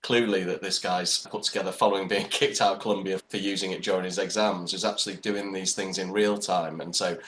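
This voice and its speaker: A man's voice: low-pitched, steady tone, fairly quick, hardly any pauses, a bit bright, slight background noise. A man co-hosting a podcast, talking casually and rambling with the odd laugh. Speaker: podcast co-host. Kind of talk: casual chat.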